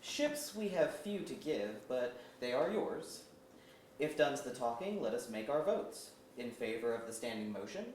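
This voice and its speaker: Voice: mid-range voice, very animated, unhurried, darkish tone. A performer playing a stern, vengeful elf leader, declaiming formal archaic verse at a staged reading. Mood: formal, brisk. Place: museum auditorium. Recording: echoey auditorium, far off, faint noise.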